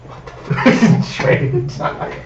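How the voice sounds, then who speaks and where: A man's voice: a little slow, noisy background. Young man podcasting, boxy roomy sound. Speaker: podcast host. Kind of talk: casual chat. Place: echoey room. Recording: roomy, slightly dull sound.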